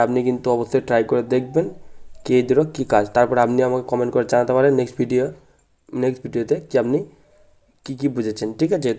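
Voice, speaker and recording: Male tech-tips host, very fast informal delivery with a flat, narrow pitch. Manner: repeatedly adds a checking tag to statements. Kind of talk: informal tutorial presentation.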